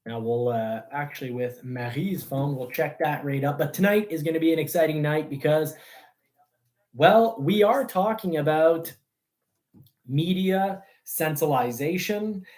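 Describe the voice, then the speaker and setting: A man's voice: a little high, darkish tone. Rambling man. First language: French. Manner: rambling, halting delivery. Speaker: host of a car news show. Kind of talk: casual livestream chat.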